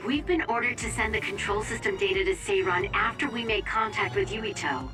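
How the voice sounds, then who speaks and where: A woman playing a dutiful, concerned young mission operator in an anime-style game dub, reporting clearly and formally. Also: mid-range voice, fairly quick, natural voice. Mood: focused. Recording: loud music behind.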